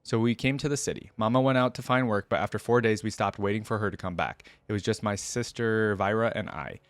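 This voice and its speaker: A man's voice: on the low side, brisk, no echo, close to an everyday voice. Man reading a guarded, grieving orphan girl. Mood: guarded, sad.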